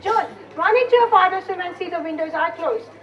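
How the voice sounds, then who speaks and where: An anxious, fussing mother in an amateur stage play, played by a female student. Her voice is very high, caught from far off in a slightly muffled recording. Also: fairly quick. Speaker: student actor in a school play.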